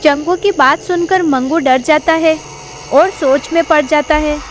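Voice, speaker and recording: A woman giving scripted narration for an animated horror story. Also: sits high, unhurried, slight background noise.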